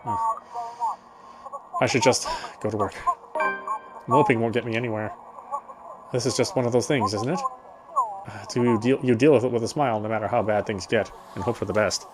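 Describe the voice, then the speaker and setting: A man reading a visual novel's text aloud as dramatic narration, solemn and a little halting. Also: fairly low pitch, loud music behind the voice. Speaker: game player reading story text aloud.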